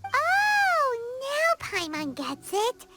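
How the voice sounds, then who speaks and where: A woman voicing a tiny, curious, chatty companion in a video game, with a squeaky childlike voice and an American accent. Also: wildly swooping pitch, very slow, full character voice. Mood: realizing, chatty. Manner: bubbly, refers to self in third person. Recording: loud music underneath. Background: music.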